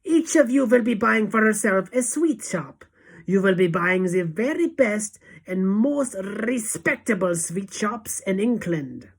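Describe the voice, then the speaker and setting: A woman's voice: medium pitch, expressive, a little slow, husky, pitched above the performer's own voice. A woman voicing a tiny, fiendish, tyrannical witch leader who screeches and barks commands in mangled grammar for a storybook. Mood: commanding. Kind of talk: dramatic audiobook character acting.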